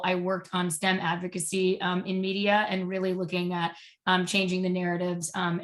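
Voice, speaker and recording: A woman's voice: fairly low pitch, fairly bright tone. A woman from Puerto Rico raised in Georgia, introducing herself on an online panel, upbeat with many ums. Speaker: panelist, consultant.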